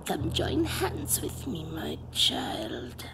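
A woman voicing a sinister female summoner who calls spirits, speaking in hissing whispers and slow ritual chants. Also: deep voice, wildly swooping pitch, vocal fry, full character voice. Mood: commanding. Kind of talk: audio drama.

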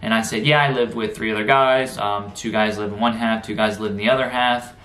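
A young American man, around twenty, talking casually and haltingly to camera in a vlog, pausing often mid-sentence. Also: fairly low pitch, fairly even intonation, a bit bright. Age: young adult, around 20. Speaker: vlogger. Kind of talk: casual reaction vlog, recounting an experience. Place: small bedroom, indoors. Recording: roomy, echoey bedroom sound.

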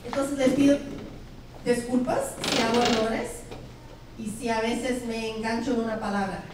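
A woman's voice: a little high, steady tone, normal speed, noisy background. A middle-aged woman from Brazil, a native Portuguese speaker with a Brazilian accent, giving a chatty, playful welcome speech to parents as school principal on a handheld mic. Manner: chatty, playful, asks the audience questions. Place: large school cafeteria hall. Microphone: handheld microphone. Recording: echoey hall, distant camera mic.

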